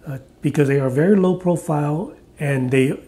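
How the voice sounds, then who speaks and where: A mellow-voiced man in his forties talks through a speaker review at an easy pace, with plenty of uh's and restarts. He's filmed at chest height in a bare, echoey living room with no carpeting. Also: on the high side, normal speed. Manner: relaxed, chatty, with frequent uh fillers and restarts. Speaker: audio reviewer. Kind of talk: casual product review.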